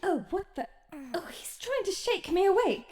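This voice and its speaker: A woman's voice: very high voice, sing-song, normal speed, darkish tone. A woman playing a sheltered, romantic young princess in an ASMR audio drama, with flowery, theatrical storybook phrasing. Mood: flustered. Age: young adult. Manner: theatrical, flowery, prim storybook phrasing, sighing, often half-whispering.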